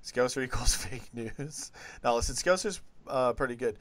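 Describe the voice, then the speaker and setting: A man's voice: medium pitch, normal speed, fairly bright tone, no echo. A man hosting a live football talk stream, chatting in a laid-back, rambling way. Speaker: host.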